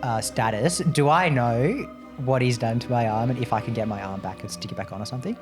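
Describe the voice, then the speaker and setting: A man's voice: mid-range voice, a little fast. A man chatting casually at the game table as a player in a role-playing game. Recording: music playing behind the voice. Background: music.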